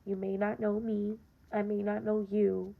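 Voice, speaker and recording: Raspy young woman doing a podcast monologue, earnest and direct, lotta "um" and "you know", kinda flat, dull lo-fi sound. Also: mid-range voice, measured pace. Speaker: podcast host. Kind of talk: casual personal monologue. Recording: dull lo-fi recording.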